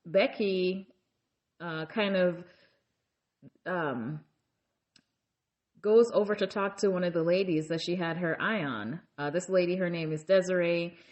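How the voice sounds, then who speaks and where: A woman hosting a casual reality TV recap, chatty and conversational, with many fillers and asides, raising her voice to act out quoted lines. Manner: acts out quoted lines in a raised pitch. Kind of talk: casual episode recap commentary.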